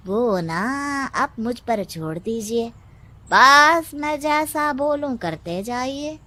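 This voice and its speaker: A woman's voice: fairly high pitch, animated, drawn-out words, pauses often, sharp tone, exaggerated voice. A woman voicing a crafty male advisor to a village headman in a cartoon moral story, sly and coaxing. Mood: sly, scheming.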